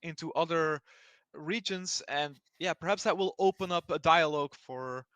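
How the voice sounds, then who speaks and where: A man from Belgium giving explanatory answers as an interview guest. His voice is bright and a little high, and the sound is close and dry. He feels his way through sentences with halting restarts. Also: no echo. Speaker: interview guest speaking for a blockchain project.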